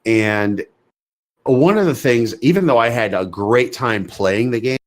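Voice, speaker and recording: A man's voice: mid-range voice, a little slow. A man co-hosting a podcast in an opinionated, casual discussion, emphatically asking and answering his own questions. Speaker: podcast co-host.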